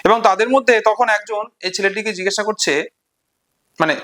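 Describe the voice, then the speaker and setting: A man retelling and explaining a short story in an unscripted lesson, deliberately, pausing between short phrases. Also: high voice, fairly bright tone. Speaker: literature explainer.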